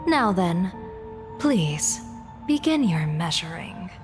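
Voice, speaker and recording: A woman playing a composed female general and diviner in a video game, polite and measured with dry sarcasm. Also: on the low side, very animated, over music. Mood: politely teasing.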